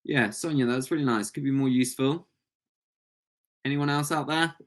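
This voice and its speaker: A man's voice: mid-range voice, normal speed. A man and English speaker teaching an online language lesson, explaining points and prompting students with encouragement. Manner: encouraging, praising students' answers. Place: online webinar call.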